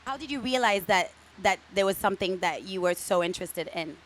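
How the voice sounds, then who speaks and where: A woman's voice: medium pitch, animated, a little fast, slight background noise. A woman hosting a live interview event, welcoming the audience and stumbling over and repeating words as she goes. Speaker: host.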